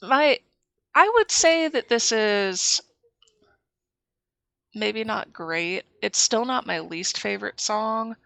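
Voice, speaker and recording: A woman co-hosting a podcast in casual chat, a bit sick, speaking slowly and deliberately while searching for words. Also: medium pitch, lively intonation, vocal fry, fairly bright tone, no echo. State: sick with a cold. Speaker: podcast co-host.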